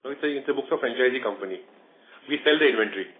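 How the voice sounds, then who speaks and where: Guy exec talking fast on a conference call, tinny phone sound with faint hiss. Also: mid-range voice. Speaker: company executive on earnings call. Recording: phone line with faint noise.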